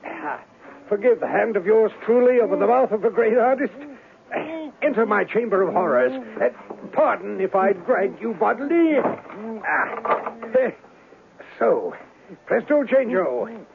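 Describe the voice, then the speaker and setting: An actor playing a suave, eccentric warlock in a 1970s radio drama, courteous and sly, with ornate theatrical phrasing. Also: male voice, high-pitched, animated, unhurried, creaky, crowd noise, heavily acted. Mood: gleeful, urgent. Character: suave, eccentric male warlock and witch doctor. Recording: lo-fi, noisy old radio broadcast.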